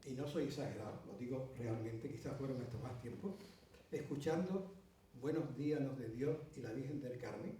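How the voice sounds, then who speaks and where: A man's voice: medium pitch, normal speed, dark tone, slight background noise. A middle-aged man with an Andalusian accent, giving a conference talk and telling a long anecdote with many asides and fillers. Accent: Andalusian Spanish. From Andalusia, Spain. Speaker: speaker at a conference. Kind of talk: storytelling talk to an audience. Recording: roomy hall heard from a distance.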